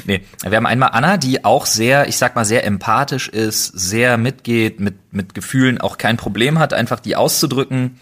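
A man's voice: mid-range voice, moderate pace, few pauses, fairly bright tone. A young man hosting a casual podcast chat, playful and rambling, laughing often as he talks. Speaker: podcast host.

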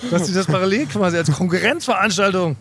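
A man with a slightly raised, light voice tells tales with mock-serious exaggeration in relaxed podcast banter. A faint hiss sits under his voice. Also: sits high, fast. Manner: playful, tells stories with jokey exaggeration. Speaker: podcast host. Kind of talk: casual chat.

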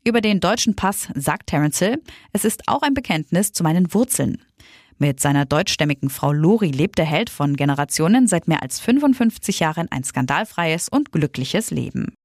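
A woman presenting news stories in a scripted, lively news-reading style. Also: deep voice, normal speed, no room sound, clean studio sound.

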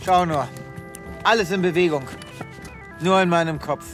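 An actor playing a melodramatic, self-pitying animal doctor in an audio drama, speaking theatrically. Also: male voice, sits high, normal speed, lightly acted. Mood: despairing. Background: music.

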